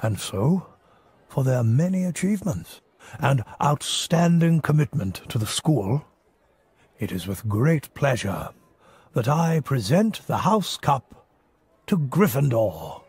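Stately, slow-speaking old wizard headmaster. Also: male voice, mid-range voice, big pitch swings, a little mellow, breathy edge, exaggerated voice. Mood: ceremonial. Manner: stately, deliberate, with weighty pauses. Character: wise, kindly old male wizard headmaster. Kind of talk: ceremonial speech in a video game cutscene.

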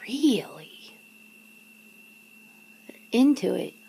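A woman playing a wary, wry human confronting a vampire lover in an ASMR roleplay, breathy and often slipping into a whisper, hesitant and casual. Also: a little high, very animated, very slow, warm tone, heavily acted. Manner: hesitant, sardonic, casual, sighing. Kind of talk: ASMR roleplay, half-whispered one-sided dialogue. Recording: noticeable background noise and ambience.

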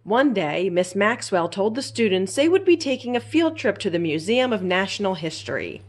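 A woman reading a children's story aloud as a library storyteller, in an expressive, simple storytelling manner. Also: medium pitch, normal speed.

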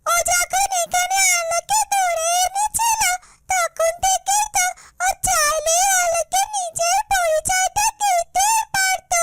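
A woman voicing a small, tender yellow bird companion in a children's fairytale cartoon, speaking sweetly and earnestly. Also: very high voice. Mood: puzzled. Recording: loud music behind the voice. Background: children's cartoon music.

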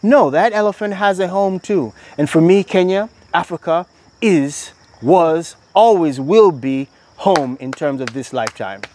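A middle-aged man with a Miami American accent, talking outdoors on his farm in a measured, reflective way, recorded on a lapel mic. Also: on the high side, mellow. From Miami, Florida. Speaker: organic farm owner, interviewee. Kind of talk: casual interview. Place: outdoors on a farm, beside a water tank.

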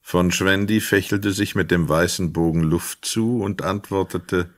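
A man with a deep voice narrates a radio drama. His delivery is measured, formal and literary, recorded dry without room sound. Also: fairly even intonation, no echo.

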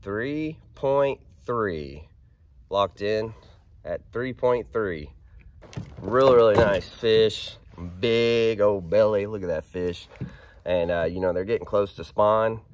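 Hoarse, froggy-voiced man fishing from a kayak. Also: lowish voice, takes it slowly, a little hiss or hum. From Arkansas. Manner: chatty and upbeat, excited while reeling in fish. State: hoarse, froggy after a head cold. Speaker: fishing vlogger. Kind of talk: casual outdoor vlog. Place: kayak on a creek outdoors. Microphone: action camera on a pole mount.

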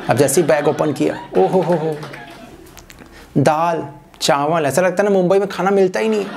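A young man doing a stand-up comedy set on a small stage, telling an animated story and acting out other people's voices. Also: on the high side, normal speed, vocal fry, a crowd in the background, noisy background. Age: young adult. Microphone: handheld mic. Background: audience laughter.

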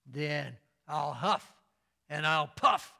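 A man voicing the big bad wolf in a storybook reading, making loud, drawn-out demands and threats. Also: fairly high pitch, steady tone, gravelly, heavily acted. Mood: blustering.

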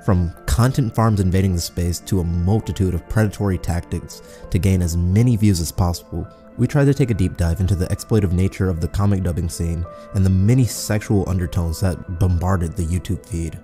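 A man's voice: low-pitched, fairly quick, few pauses, dark tone. A man reading scripted narration as the narrator of a video essay. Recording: background music under voice. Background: music.

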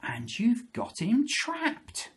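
A man's voice: very high-pitched, wildly swooping pitch, very slow, husky, pitched above the performer's own voice, heavily acted. A man voicing an eager, boastful cockerel who calls out boldly in a children's story. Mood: crowing, taunting. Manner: chirpy, boastful, calling out.

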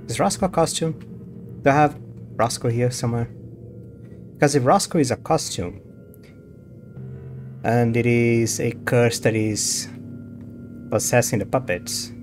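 A man giving casual gameplay commentary as a streamer, musing to himself and reading text aloud. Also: medium pitch. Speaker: game streamer. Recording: music behind the voice.

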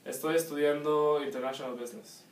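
A man's voice: a little high, steady tone, a little fast. A young man from Mexico giving brief, matter-of-fact interview answers in a small indoor room. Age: young adult. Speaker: student interviewee. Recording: distant echoey room, faint noise.

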